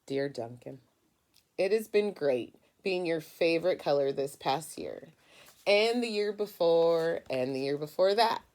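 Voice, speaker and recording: A short, worn-out blue crayon from a picture book read by a woman. It speaks politely and plaintively in a low voice with some gravel in it. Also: animated, unhurried, darkish tone. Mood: weary.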